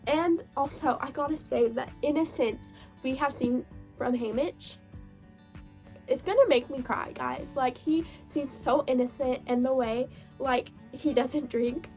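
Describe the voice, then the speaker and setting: Gushing young woman with a hoarse cold voice. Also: sits high, a little slow, a little mellow, faint music behind. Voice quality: gravelly. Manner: gushing, chatty, laughs often. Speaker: book vlogger. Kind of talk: casual reading wrap-up chat.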